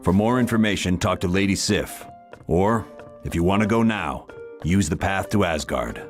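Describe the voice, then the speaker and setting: A man playing a steady, businesslike superhero team leader giving a mission briefing in a video game. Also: low-pitched, normal speed, faint music behind, lightly acted. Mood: approving.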